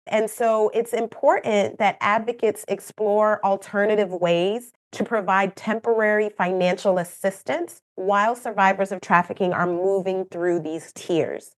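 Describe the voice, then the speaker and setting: Kenyan woman explaining earnestly. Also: mid-range voice. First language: not English. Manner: earnest, explanatory, with light laughs and asides. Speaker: program manager, guest expert. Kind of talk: podcast interview answer.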